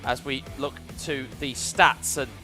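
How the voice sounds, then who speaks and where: Bright-voiced British esports commentator. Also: male voice, medium pitch, steady tone, a little slow, soft background music. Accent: British English. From United Kingdom. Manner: fluent, analytical broadcaster delivery. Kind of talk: live esports play-by-play commentary.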